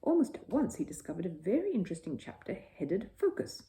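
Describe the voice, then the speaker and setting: Female storyteller for children's bedtime narration; slightly low, mellow, animated. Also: brisk.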